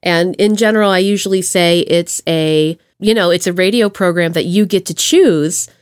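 A woman hosting a podcast in a casual chat, with a playful, slangy and expressive way of talking. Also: on the low side, normal speed, smooth, no echo, studio quality. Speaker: podcast host.

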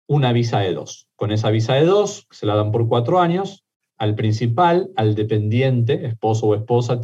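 A man with an Argentine accent talks through a topic in a measured, methodical way, his voice sitting low. He restarts phrases and leans on fillers as he builds each point. The audio is dull and lo-fi. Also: a little mellow. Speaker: immigration lawyer guest. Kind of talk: explanatory interview talk.

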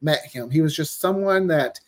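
Higher-voiced man telling stories in casual chat. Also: normal speed, darkish tone. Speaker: audio drama writer, podcast guest.

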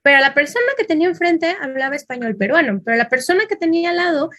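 High-voiced Mexican woman lecturing. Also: lively intonation, quick pace, fairly bright tone, no echo, clean studio sound. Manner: conversational, thinking aloud with fillers. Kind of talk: informal lecture.

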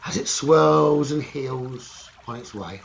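A man leading a guided meditation slowly and soothingly, in short phrases with long pauses. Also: medium pitch, creaky, highs cut off. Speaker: meditation teacher. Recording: running stream water noise behind voice. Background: trickling stream water ambience.

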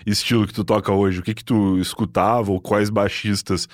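Host guy, low voice, hypes every answer, dry close sound. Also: little pitch movement, normal speed, no room sound. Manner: enthusiastic short affirmations. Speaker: interviewer host. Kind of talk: interview questions and backchannel.

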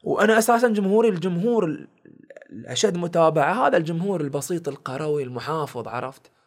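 A young man with a village Bahraini accent tells stories from his life in a relaxed podcast chat. He talks quickly in a slightly raised voice and acts out the lines of the people he meets. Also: fairly high pitch. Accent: Bahraini village dialect. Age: young adult. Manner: animated storyteller acting out quoted exchanges. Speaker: guest, content creator and comedian. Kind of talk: casual podcast storytelling.

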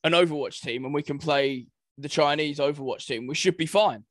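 Higher-pitched young British man, very dry sound. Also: a little fast, fairly bright tone, no echo. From United Kingdom. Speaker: podcast co-host. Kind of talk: casual podcast chat.

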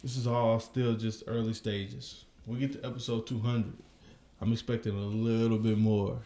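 A man's voice: on the low side, little pitch movement, moderate pace, warm tone. Laid-back man podcasting in an echoey room. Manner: joking, laid-back, lots of "uh". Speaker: podcast co-host. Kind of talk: casual podcast chat. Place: indoor room, across from co-host.

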